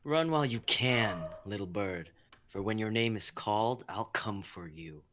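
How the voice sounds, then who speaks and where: Man playing a slow, taunting villain executioner. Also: lowish voice, lively intonation, fairly bright tone. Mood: menacing, patient. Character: cold menacing male executioner villain, taunting and patient. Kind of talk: amateur dramatic script reading on livestream.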